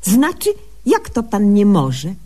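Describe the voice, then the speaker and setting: A woman's voice: mid-range voice, wildly swooping pitch, slow, dark tone, heavily acted. An actress playing a pompous young woman doctor in a humorous radio sketch, with mock-literary, garbled diction. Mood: mock-solemn. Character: pompous, brisk young lady doctor narrating her diary.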